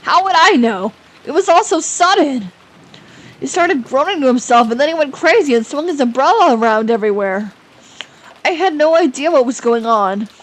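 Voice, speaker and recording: A woman's voice: a little high, sing-song, takes it slowly, exaggerated voice, poor audio. A woman voicing a male freelance writer in a horror drama, unhinged and sneering, swinging between panic and cold menace. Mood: panicked. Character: freelance writer man, panicky then coldly menacing killer.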